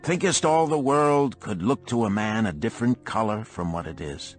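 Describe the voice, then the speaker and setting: A man's voice: on the low side, normal speed, soft background music, pitched above the performer's own voice, lightly acted. A man voicing a boisterous, blunt middle-aged camel trader in an audiobook parable, declaiming in archaic phrases. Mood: boastful, storytelling.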